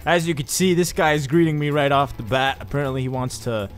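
A young man giving casual, excitable let's-play commentary over his gameplay, chatting with jokey asides. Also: fairly high pitch, brisk, faint music behind. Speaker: gaming YouTuber.

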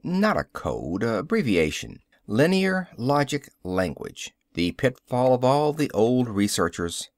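A man's voice: mid-range voice, sing-song, measured pace, few pauses, no echo, studio quality. A man reading Neil, a lecturing scientist. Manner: explanatory, lecturing cadence. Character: adult male social scientist, lecturing and confident. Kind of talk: audiobook character reading.

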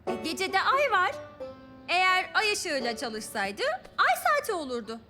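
Worried, practical cartoon kid: extremely high, animated, insistent, with music behind. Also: female voice, measured pace, full character voice. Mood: questioning, practical. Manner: insistent, questioning. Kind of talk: children's cartoon voice acting. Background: cartoon background music with jingles.

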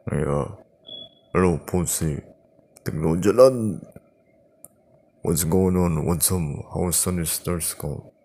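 A man chatting casually and haltingly, improvising as he goes and repeating words. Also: low-pitched, dark tone. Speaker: prank call video creator, host. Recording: noisy home recording.

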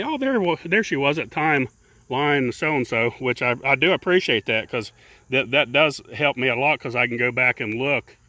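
A man's voice: mid-range voice, bright, forceful tone. A man talking casually about beekeeping while working his hives outdoors, rambling and repeating words. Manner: rambling, repeats words, plain folksy speech. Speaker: beekeeper and channel host. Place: outdoors beside beehives in a grassy yard. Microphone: handheld camera held by the speaker.

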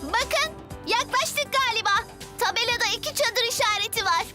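An eager cartoon little girl with a very high, childlike voice. She is cheerful and excitable, and music plays clearly behind her. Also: normal speed. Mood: excited, eager.

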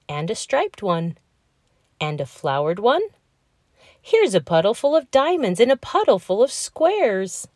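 A woman's voice: fairly high pitch, darkish tone, dry recording, studio quality, pitched above the performer's own voice. A woman voicing an earnest, stubborn, dreamy young male crocodile from a children's storybook, with emphatic delivery. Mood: delighted.

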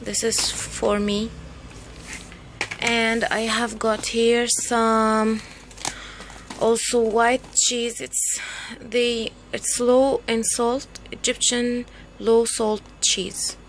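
Woman vlogging at home, talks really slowly and hunts for words, clearly noisy handheld recording. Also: on the high side, little pitch movement. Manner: halting, searching for words. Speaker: vlogger showing a grocery haul. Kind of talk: unscripted casual show-and-tell chat.

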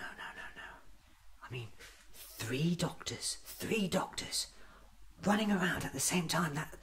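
A man in his thirties doing an ASMR roleplay as a scatterbrained, excitable alien doctor, muttering to himself in fragments, often in a whisper. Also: medium pitch. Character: scatterbrained, excitable time-travelling alien doctor, newly regenerated. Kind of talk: ASMR roleplay acted as a monologue.

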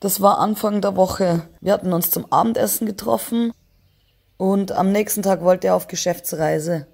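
A woman playing a weary, grieving mother in an audio crime drama, subdued and reluctant, with a husky, creaky voice. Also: medium pitch, moderate pace, darkish tone. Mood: subdued, sad. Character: grieving, weary mother of a dead young man.

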